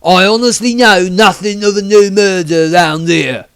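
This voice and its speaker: An anxious, simple tavern barman played by a male narrator in a fantasy audiobook. His voice is high for a man and halting, recorded close and dry. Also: fairly bright tone, smooth, no room sound, faint music behind. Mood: earnest.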